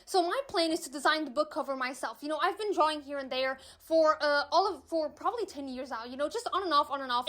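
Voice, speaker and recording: A young woman with a high voice talks to camera in a relaxed, candid way. Portuguese is her first language, and she leans on fillers and emphatic asides as she speaks. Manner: chatty, candid, emphatic with frequent "you know" fillers. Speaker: vlogger, aspiring author. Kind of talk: casual chat to camera.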